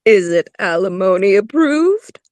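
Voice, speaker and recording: An actress playing a quick-witted lawyer friend in an audio play, teasing and expressive. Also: female voice, medium pitch, dry recording.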